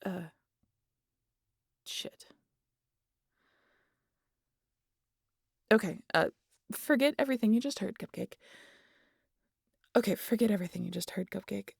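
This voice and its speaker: A woman playing a wry, guarded vampire who teases and confides in an intimate audio roleplay, casual and sweary, often sinking to a breathy near-whisper. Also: mid-range voice, expressive, moderate pace, pauses often, no room sound, clean studio sound, exaggerated voice. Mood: flustered. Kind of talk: intimate audio roleplay monologue addressed to the listener.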